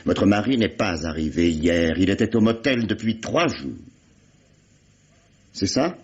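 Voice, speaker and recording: A man's voice: deep voice, expressive, measured pace. An actor playing a wry, insistent investigator in a vintage radio mystery, sardonic and falsely fatherly as he questions a suspect. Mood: insistent, coaxing. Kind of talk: 1950s French radio mystery acting. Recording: dull lo-fi old radio recording.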